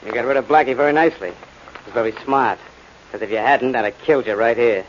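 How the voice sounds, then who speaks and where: A man's voice: medium pitch, fast, lightly acted. A man acting a menacing, cocky hired killer in a 1940s radio drama. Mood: sneering menace. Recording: muffled 1940s radio recording with noise.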